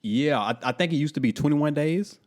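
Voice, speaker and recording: A man co-hosting a casual podcast, talking in an animated, teasing and slangy way. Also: on the high side, a little fast. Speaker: podcast co-host. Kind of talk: casual chat.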